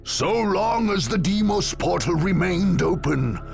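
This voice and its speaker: An actor playing a grave narrator of a war chronicle in a video game, delivering dramatic scripted lines in a portentous, measured way. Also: male voice, sits high, animated, creaky, music in the background, heavily acted. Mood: grave, ominous.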